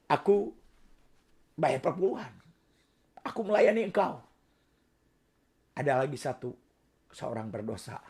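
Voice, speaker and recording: A middle-aged man preaching a sermon with a hoarse, raspy, worn-out voice, swinging between soft asides and loud emphatic declarations. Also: fairly high pitch, sing-song, unhurried, pauses often. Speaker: pastor.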